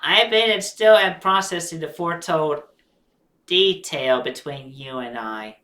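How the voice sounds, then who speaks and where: A woman's voice: sits low, unhurried, a bit bright. A woman voicing a thoughtful young male hedgehog-like creature, speaking hesitantly as he searches for words. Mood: pondering. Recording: echoey room.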